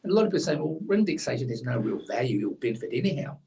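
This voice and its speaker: A man's voice: medium pitch, sing-song, rapid-fire, creaky, dark tone, far from the mic. A man, blunt and opinionated, explaining a tax rule in casual chat as co-host, a little away from the mic.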